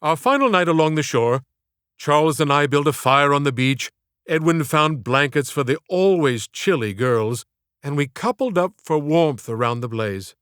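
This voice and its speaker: A man reading literary scripted narration as an audiobook author, in a measured, theatrical way. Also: fairly high pitch, expressive, fairly bright tone, clear, resonant tone, no room sound, studio quality.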